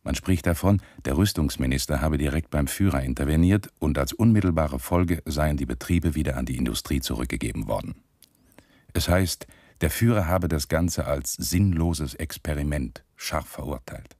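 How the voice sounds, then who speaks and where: A man's voice: extremely low pitch, moderate pace, a little mellow, no room sound, studio quality. A male actor playing a wartime German regime loyalist, passing on news in a confiding, matter-of-fact radio monologue. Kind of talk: scripted radio play monologue.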